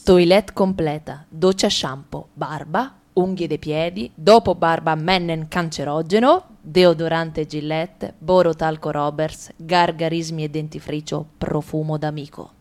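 A woman reading a comic monologue for radio theatre, ticking off lists briskly with ironic, playful emphasis. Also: low voice, steady tone, a little mellow. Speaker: radio drama reader.

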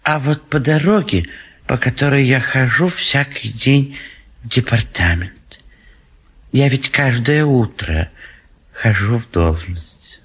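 A husky, dithering timid bachelor. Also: male voice, mid-range voice, animated, slow, fairly bright tone, heavily acted. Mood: shy politeness. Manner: hesitant, polite, dithering. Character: timid, indecisive middle-aged bachelor suitor, stubbornly evasive. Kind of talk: theatrical classic stage comedy acting.

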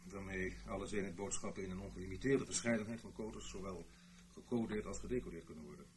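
An actor playing an earnest British intelligence officer, giving a formal, measured explanation in a Dutch radio play. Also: male voice, deep voice, noisy background, natural voice. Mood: patient, instructive. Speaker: intelligence briefer.

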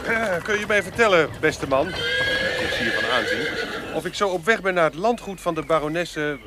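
A man's voice: on the high side, animated, normal speed, natural voice. A man playing an ingratiating, hesitant schemer with flowery manners in a radio play. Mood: courteous, pleading. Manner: wheedling, hesitant, flowery politeness. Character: smooth-talking male schemer, ingratiating old acquaintance, swindling petitioner. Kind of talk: Dutch radio play acting. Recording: noisy outdoor sound-effects ambience, horse clip-clop.

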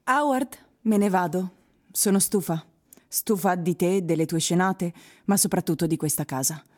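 Actress as exasperated wife, mellow lower voice. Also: female voice, normal speed, lightly acted. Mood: weary, fed up. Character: fed-up wife leaving her husband by recorded message. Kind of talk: radio drama acting.

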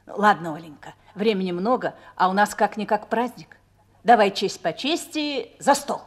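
An actress playing a proud, excitable mother in a Russian radio play, with a lively, exclaiming manner. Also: medium pitch, moderate pace, close to an everyday voice. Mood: welcoming. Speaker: radio drama actor.

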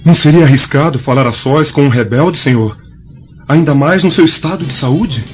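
An actor playing a dutiful young Roman prince, respectful, formal and solicitous, in a radio drama. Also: male voice, on the high side, normal speed, a little mellow, natural voice. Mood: puzzled, cautious. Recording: dull lo-fi radio drama with music.

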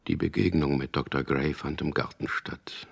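A man's voice: extremely low pitch, fairly even intonation, gravelly, husky, exaggerated voice. An actor playing a wry, stubborn man in love who narrates his own story in a radio drama, with a dry, understated delivery. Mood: reflective narration. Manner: dry, understated, teasing, deliberate.

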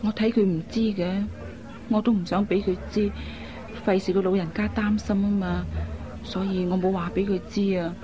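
An actress playing a worried, caring young woman in a radio drama, with an earnest, expressive delivery. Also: medium pitch, moderate pace, a crowd in the background, lightly acted. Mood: fretful. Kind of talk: Cantonese radio drama acting. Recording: dull lo-fi recording, faint noise.

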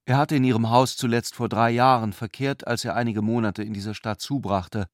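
A man's voice: medium pitch, fairly even intonation, a little fast, no echo, studio quality. A man narrating a literary radio drama as a scripted storyteller.

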